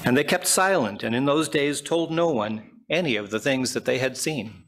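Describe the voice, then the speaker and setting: A man's voice: medium pitch, moderate pace, fairly bright tone, slight background noise. Older man reading scripture. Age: 60s. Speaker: scripture reader. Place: church sanctuary at a pulpit. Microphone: gooseneck pulpit microphone.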